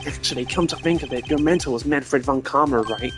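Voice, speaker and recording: A man's voice: mid-range voice, a little fast, music in the background, natural voice. Man doing a cocky, flippant rival prosecutor. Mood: smug. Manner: casual, smug, taunting. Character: cocky, flippant young male rival prosecutor, evasive suspect.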